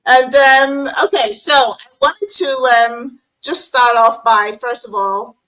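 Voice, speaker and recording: A woman's voice: sits high, slow pace, bright, forceful tone, poor audio. A woman hosting an interview show, chatting casually over a remote call with lots of fillers and restarts. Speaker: host.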